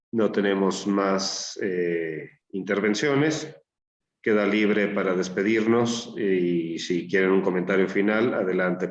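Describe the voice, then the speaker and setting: A man moderating a panel discussion, speaking in a measured way as he calls on the speakers. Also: fairly low pitch, lo-fi sound, slight background noise. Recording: echoey and distant.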